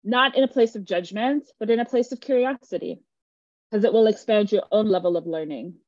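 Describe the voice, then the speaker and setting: A woman's voice: mid-range voice, brisk, a little mellow, dry recording, dull audio. A woman from Jamaica leading an online workshop, speaking earnestly and emphatically and often checking in with her listeners. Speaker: workshop facilitator. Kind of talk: teaching a live online workshop.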